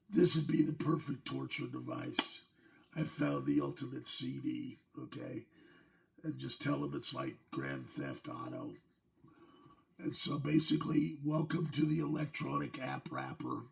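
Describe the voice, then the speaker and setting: A middle-aged man talks slowly and loosely, his voice worn hoarse by a virus. It has a heavy gravelly fry, and he chuckles through his asides. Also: a little high, darkish tone. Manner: joking, chuckling between remarks. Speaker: channel host. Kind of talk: casual demo vlog.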